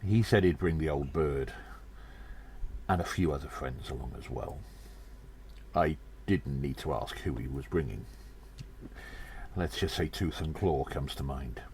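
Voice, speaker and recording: Man as audio-drama gnome adventurer: extremely low voice, slow theatrical storytelling, earnest. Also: a little mellow, slight background noise. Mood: earnest, conspiratorial. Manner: theatrical, halting storyteller delivery.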